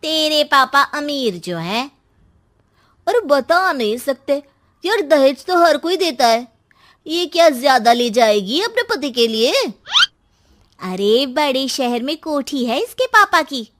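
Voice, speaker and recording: A woman's voice: sits high, sing-song, slow, a bit bright, exaggerated voice. A woman playing a catty young female friend in a dramatised moral story, teasing and blunt. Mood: mocking.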